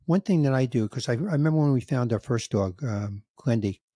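A man's voice: mid-range voice, a little fast, breathy edge, no echo. Older man telling a story, dry close mic. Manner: animated storyteller, acts out dialogue, emotional. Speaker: podcast host, comedian. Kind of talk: casual storytelling chat. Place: home room with record shelves. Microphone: studio mic on boom, headphones, video call.

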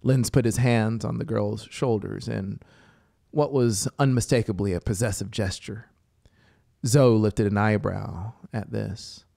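A man narrates an audiobook in a low register with a gravelly, creaking edge. He takes his time, pausing for drama in a deliberate storytelling style. The voice is close on a studio mic, with soft music behind it. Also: fairly even intonation, dry recording. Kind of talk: scripted story narration over soundscape. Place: small home music studio, indoors. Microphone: close studio microphone with headphones. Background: atmospheric synth music.

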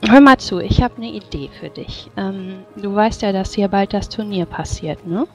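A woman playing a tabletop game, improvising in character in a gentle, coaxing, hesitant way. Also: mid-range voice, normal speed. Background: faint music.

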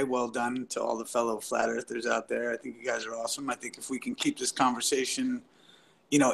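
A man's voice: mid-range voice, vocal fry, poor audio. A man on a casual video call chat, giving a grateful, upbeat sign-off. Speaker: guest, musician.

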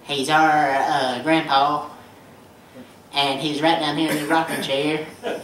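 A middle-aged man, fronting a bluegrass band and telling deadpan folksy jokes to a live theater audience between songs. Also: on the high side, slow, slight background noise. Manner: folksy deadpan joking with the crowd. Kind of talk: stage banter between comic songs. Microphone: stage vocal mic, recorded by a far camera. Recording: echoey hall recorded from far off. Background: loud guitar and band music.

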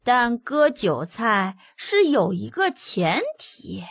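A woman plays a little boy emperor in an audiobook, babyish and high. He speaks very slowly and knowingly, sly and sure of himself, close and dry over faint music. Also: big pitch swings, gravelly, a bit bright, breathy edge, no room sound, exaggerated voice. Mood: sly, self-assured. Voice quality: childish, babyish voice.

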